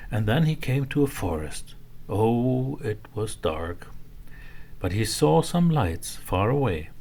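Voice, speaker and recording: A man narrating a children's bedtime story in a simple, expressive way for small children. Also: fairly low pitch, slight background noise.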